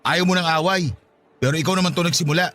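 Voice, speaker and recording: An actor playing an exasperated young man in a Tagalog radio drama, expressive and sarcastic as he argues with a partner. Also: a little high, quick pace. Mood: exasperated, indignant.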